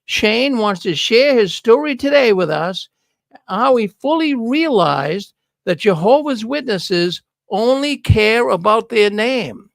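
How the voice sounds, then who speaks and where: A man's voice: high-pitched, animated, slow, creaky, no room sound. An older man with a Boston accent hosting a talk show in a chatty, folksy way, speaking into a studio mic. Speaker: host. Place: studio with neon signs. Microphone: broadcast mic on boom arm.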